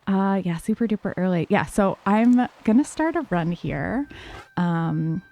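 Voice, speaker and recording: A woman livestreaming a game, talking calmly and softly to her chat with lots of fillers. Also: mid-range voice, a little slow, no echo, rough recording. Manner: soft, calm, many fillers. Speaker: streamer.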